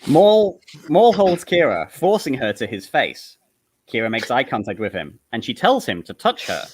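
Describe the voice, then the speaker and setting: A man's voice: medium pitch, wildly swooping pitch, normal speed, husky. A man reading stage directions as narrator in a live comedic table read, with an amused manner.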